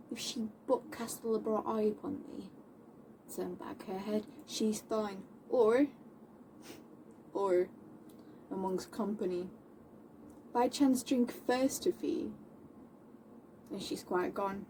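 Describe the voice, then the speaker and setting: A young woman playing a defiant, scornful tomboy of seventeenth-century London in a classical stage monologue, declaiming indignantly with dramatic pauses. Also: mid-range voice, steady tone, warm tone. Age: young adult. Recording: distant mic with faint background noise.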